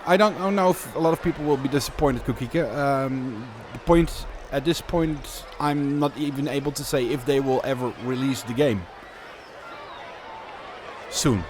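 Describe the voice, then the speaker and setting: A man's voice: mid-range voice, normal speed, noisy background. A Dutch man with a Dutch accent, chatting casually through live gameplay commentary and answering viewer questions as he builds. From Netherlands. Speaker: streamer.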